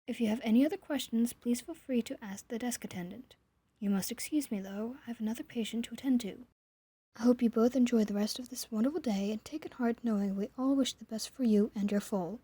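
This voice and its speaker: Woman playing a mellow, reassuring pony nurse. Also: fairly high pitch, brisk, slightly rough, no room sound. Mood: firm, kindly. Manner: attentive, instructive, polite. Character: caring, professional female pony nurse, calmly reassuring.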